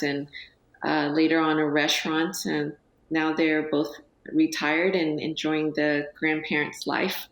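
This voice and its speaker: A woman in her forties talks slowly as a studio guest, her voice low for a woman. She speaks softly and hesitantly, full of pauses and fillers. The studio sound is roomy. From United States. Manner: soft-spoken, hesitant with many fillers. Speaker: guest, business owner. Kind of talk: TV interview.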